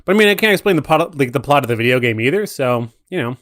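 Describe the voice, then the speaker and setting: A man with a mid-pitched voice gives casual, conversational commentary on movies for a podcast. The sound is dry, with very little room echo around his words. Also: lively intonation, fast, fairly bright tone.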